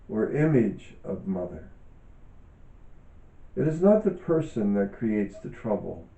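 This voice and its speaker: A man reads a reflective self-help text at a slow, deliberate pace, leaving frequent pauses between short phrases. His voice is very soft-edged and dark. The recording is dull, with noticeable room echo. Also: mid-range voice, creaky. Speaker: author and yoga teacher reading from own book. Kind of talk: measured reading aloud, reflective self-help teaching.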